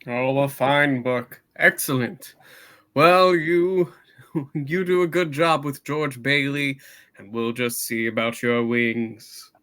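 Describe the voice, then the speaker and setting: A man playing a kindly, authoritative superintendent of angels in a comic radio play reading, with a measured, halting delivery. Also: mid-range voice, fairly even intonation, pushed and bright. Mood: kindly, brisk.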